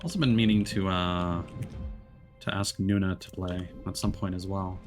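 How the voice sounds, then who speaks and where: Low-voiced man explaining while gaming. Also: moderate pace, music in the background. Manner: relaxed, explanatory, frequent fillers. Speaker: streamer. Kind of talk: casual gameplay commentary.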